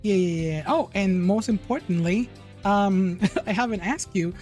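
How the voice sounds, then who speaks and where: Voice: mid-range voice, a little slow, darkish tone, soft background music. Playful host with a Puerto Rican accent. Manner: playful, laughs a lot. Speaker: chat show host. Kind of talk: casual interview chat.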